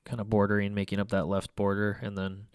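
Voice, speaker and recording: A young man talks through his photos with a congested, stuffy nose, rambling and restarting his sentences. His voice sits low and sounds smooth and clear. The sound is dry and close, with no room around it. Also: completely flat delivery, fast talker, dry recording. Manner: rambling, hesitant, many fillers and restarts. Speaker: photography vlogger. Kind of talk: casual photo review commentary. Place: small room at home by a window.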